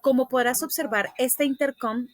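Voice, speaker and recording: A woman's voice: high voice, steady tone, normal speed. A woman presenting a scripted explanatory lesson, reading aloud in a didactic way with occasional stumbles.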